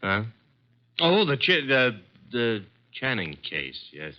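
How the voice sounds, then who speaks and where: An actor playing an easygoing, wisecracking detective's assistant in a vintage radio drama, casual and matter-of-fact. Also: male voice, medium pitch, sing-song, slow pace. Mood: casual, smitten. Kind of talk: 1940s-50s radio drama acting. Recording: dull vintage radio recording.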